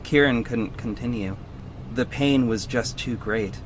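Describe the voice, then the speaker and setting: An actor playing an earnest superhero who narrates his own story in a measured, serious audio drama style. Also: medium pitch, a little hiss or hum, lightly acted, rough recording. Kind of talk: scripted first-person audio drama narration.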